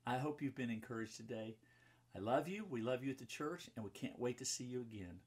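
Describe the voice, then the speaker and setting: Male pastor, 50s, mid-pitched with a husky edge, telling Bible stories to children in simple, explanatory language. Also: fairly quick, darkish tone. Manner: simple child-directed phrasing with explanatory asides. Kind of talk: children's Bible storybook reading and talk. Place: book-lined room indoors.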